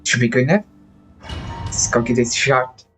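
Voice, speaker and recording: A man livestreaming a game, making casual, low-key running remarks as he plays. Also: moderate pace, a bit bright, soft background music. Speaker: game streamer. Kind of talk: casual livestream gameplay chat.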